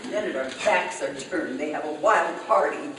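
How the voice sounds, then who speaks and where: A woman's voice: deep voice, measured pace. A woman acting an aggrieved, gullible wife in a live re-staged old-time radio comedy. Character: aggrieved, gullible sitcom wife, the housewife's friend. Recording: echoey noisy hall from far off.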